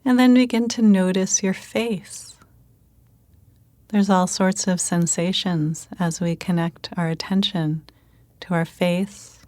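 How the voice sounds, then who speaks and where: Female meditation guide: calm, gentle, very unhurried, slightly low voice, dry intimate recording. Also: dry recording.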